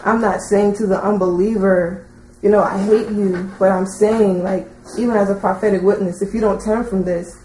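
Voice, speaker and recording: A woman in the audience at a teaching session, putting a long, unscripted question in a hesitant, halting way full of filler likes. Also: mid-range voice, steady tone, warm tone. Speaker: audience member asking a question. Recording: roomy echo, faint background noise.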